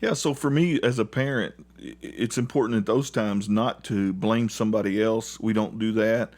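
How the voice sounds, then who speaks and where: A man's voice: fairly low pitch, moderate pace, a little mellow. A middle-aged man speaking earnestly and plainly as a guest in a conversational interview, like a parent telling family stories.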